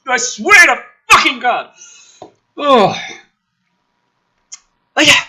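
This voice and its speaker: A man's voice: very high voice, big pitch swings, extremely slow, pushed and bright. A man giving ranting commentary as the host of a script review, exasperated, sarcastic and full of swearing. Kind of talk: ranting commentary mixed with dramatic script reading.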